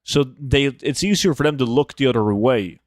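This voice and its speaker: A man's voice: medium pitch, moderate pace, dry recording, clean studio sound. A man from Norway, chatting casually and bluntly as he livestreams a game, swearing freely. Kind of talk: casual livestream chat while gaming.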